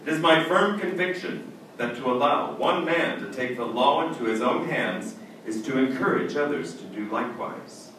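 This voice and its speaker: An actor playing a stern, cold judge in old-time radio drama style, with formal, declamatory delivery. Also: male voice, mid-range voice, lively intonation, moderate pace, hardly any pauses, fairly bright tone, close to an everyday voice. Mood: stern, commanding. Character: stern, haunted male judge, cold and unbending. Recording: very echoey, distant, noisy stage recording.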